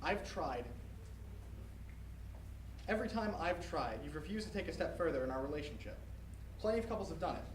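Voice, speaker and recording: A man's voice: a little high, lively intonation, brisk, long pauses, natural voice. Man acting a coaxing young boyfriend, off-mic. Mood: pressuring. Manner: coaxing, persuasive. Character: smooth-talking, pushy young boyfriend. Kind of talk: staged dramatic reading of a play. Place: live presentation in a room at a college. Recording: distant mic in echoey, slightly noisy room.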